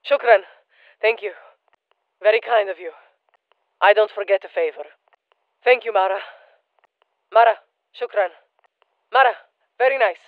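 A woman's voice: mid-range voice, measured pace, long pauses, no echo, phone-quality sound, lightly acted. A woman voicing a battle-hardened female soldier operator in a game, speaking in clipped military callouts with dry humor. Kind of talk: game voice lines.

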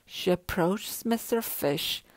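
Slow, animated woman reading a storybook. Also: fairly low pitch, no room sound. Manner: expressive, rhythmic rhyming delivery. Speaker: storybook reader. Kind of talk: children's story read-aloud.

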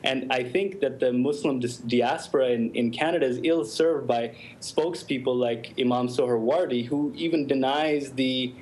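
A man's voice: medium pitch, normal speed, few pauses, rough recording. A man in his thirties, an immigration lawyer arguing bluntly on a televised panel over a video call. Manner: forceful, blunt, argumentative.

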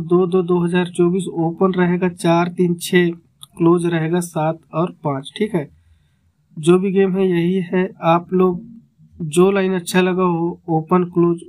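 High, flat-voiced man counting numbers aloud. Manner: counting aloud step by step, repetitive. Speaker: number-game tipster. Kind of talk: explaining number chart calculations.

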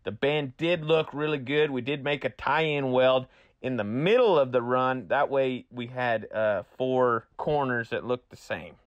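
A man's voice: mid-range voice, unhurried, dry recording, muffled recording. Man casually explaining a build, dry sound. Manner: explanatory, informal, addresses viewers directly. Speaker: host, welder. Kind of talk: how-to build narration, casual.

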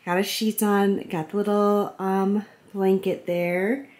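A woman's voice: medium pitch, level tone, slow pace, a little mellow. A woman in her twenties with an American accent, chatting casually to viewers as she vlogs at home on a handheld camera. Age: 20s. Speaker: vlogger. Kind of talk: casual vlog chat to viewers. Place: indoors at home, kitchen and living room. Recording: clear household background noise.

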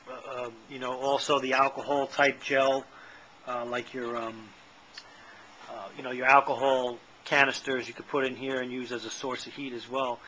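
A middle-aged man with a bright, forceful voice talks through a camp-stove demo at an outdoor table. He rambles in plain words, full of "uh" and "um", recorded on a handheld camera with a faint hiss underneath. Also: medium pitch, rough recording. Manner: rambling, plain-spoken, many fillers. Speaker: channel host. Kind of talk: casual product demo.

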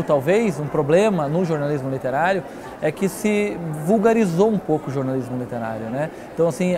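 A man in his forties with a Brazilian accent, answering interview questions in an emphatic, conversational way with repetition and frequent tag questions. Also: on the high side. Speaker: interview guest, literary newspaper editor.

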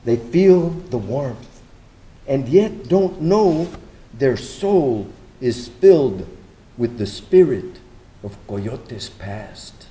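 An older man giving a measured, bilingual dramatic poetry reading at a microphone. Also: mid-range voice, animated, mellow, low-quality recording. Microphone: stand microphone at lectern.

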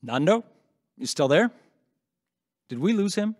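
Man acting higher-voiced radio studio host. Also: very animated, unhurried, exaggerated voice. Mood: mildly concerned. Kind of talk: radio presenting.

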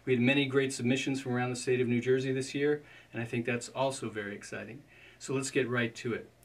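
A man's voice: medium pitch, steady tone, fast, far from the mic. A man in his fifties presenting awards, reading out nominees in a scripted presentation. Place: indoor studio with curtain backdrop.

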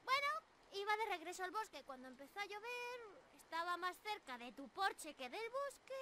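Very high-pitched, bubbly squirrel girl. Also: normal speed, heavy background noise. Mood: sheepish. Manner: bubbly, excitable, stammering, giggly. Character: hyper, ditzy, timid young squirrel monster girl. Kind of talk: ASMR roleplay in anime-girl style.

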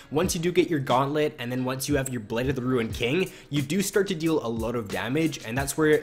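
A man with a stuffy nose from a cold, hosting a casual game strategy video and explaining his picks in a relaxed way. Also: medium pitch. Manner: relaxed, explanatory, repeats phrases. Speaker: gaming video host.

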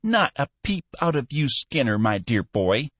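A man reading an audiobook, voicing a feisty, soft-hearted old businessman in a high, chirping, bossy voice. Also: very animated, measured pace, breathy edge, dry recording, heavily acted. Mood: scolding, cajoling. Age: older.

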